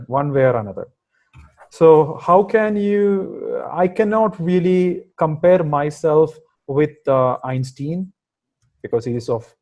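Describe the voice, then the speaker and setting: A man from India speaks with an Indian accent tinged with American, his voice a little on the higher side. He answers questions haltingly, full of fillers, then presses his points with emphatic asides. He is heard through a video call from an indoor room. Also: darkish tone. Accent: Indian with some American. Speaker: guest software engineering manager.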